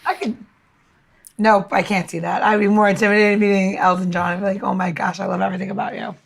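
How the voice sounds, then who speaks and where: A woman's voice: medium pitch, little pitch movement, fast talker, gravelly. A woman chatting casually as the co-host of a podcast. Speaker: podcast co-host who presents cocktails. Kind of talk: casual chat.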